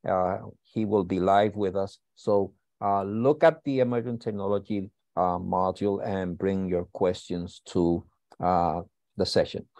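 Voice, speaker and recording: A man hosting an online school session, giving housekeeping announcements in a halting way with many uh fillers. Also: deep voice, steady tone, darkish tone, no room sound. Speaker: host and school founder. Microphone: video call.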